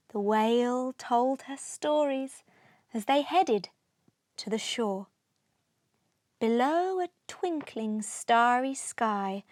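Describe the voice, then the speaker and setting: A woman narrating a children's storybook aloud, expressively, with deliberate rhythmic delivery of rhyming verse. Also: a little high, darkish tone, no echo, studio quality.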